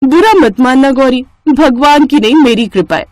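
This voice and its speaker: A woman acts out a brazen young elder sister from a cartoon morality tale. Her voice is high and taunting, with a haughty, entitled air. The sound is dry. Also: moderate pace, no echo, natural voice. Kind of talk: cartoon moral story acting.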